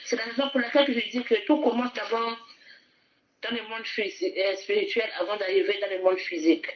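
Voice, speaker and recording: A woman's voice: mid-range voice, fairly even intonation, brisk, dull audio. A woman preaching a sermon fervently, repeating phrases and asking listeners to repeat after her.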